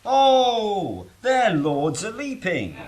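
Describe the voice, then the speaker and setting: A man playing a gruff, scheming leader of an invading army who speaks in a sly, teasing, knowing way. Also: high voice, huge pitch swings, very slow, darkish tone, a little hiss or hum, exaggerated voice.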